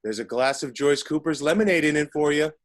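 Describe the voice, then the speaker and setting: A man's voice: a little high, fairly quick, close to an everyday voice. A genteel village vicar, read by a man who talks in florid, polite phrases. He is fussy and courteous. The read comes through a video call. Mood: cheery.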